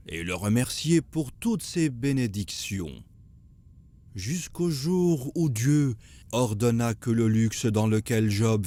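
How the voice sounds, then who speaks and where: An actor playing an expressive, curious storyteller in a children's religious cartoon. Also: male voice, mid-range voice. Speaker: narrator and questioner.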